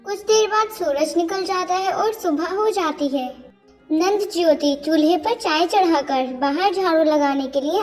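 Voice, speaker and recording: A woman narrating a scripted moral story as its storyteller. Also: very high voice.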